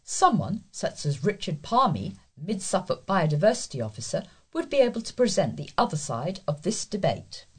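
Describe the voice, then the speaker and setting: A woman's voice: fairly low pitch, big pitch swings, normal speed, few pauses, breathy edge. A woman reading newspaper letters aloud as a volunteer news reader.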